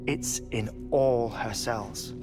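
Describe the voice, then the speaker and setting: An actor playing a grave, thoughtful researcher in a video game, explaining in a measured way. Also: male voice, mid-range voice, music in the background. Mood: grave, confiding. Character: grave, thoughtful male researcher confiding his theories. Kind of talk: video game cutscene acting.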